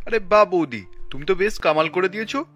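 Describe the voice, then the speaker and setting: Man as a high-voiced eager young brother-in-law. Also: animated, fast talker, fairly bright tone, muffled recording. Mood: delighted. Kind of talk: cartoon voice acting.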